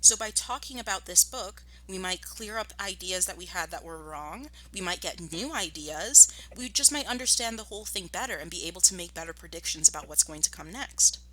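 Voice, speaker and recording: A woman teacher giving a storybook read-aloud lesson, explaining and narrating in an expressive manner. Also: on the low side, poor audio. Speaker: primary school teacher.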